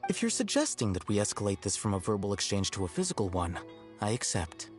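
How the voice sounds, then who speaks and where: A cool, aloof scholar. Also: male voice, lowish voice, fast talker. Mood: cold. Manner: calm, precise, coolly condescending. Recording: game audio with faint background music.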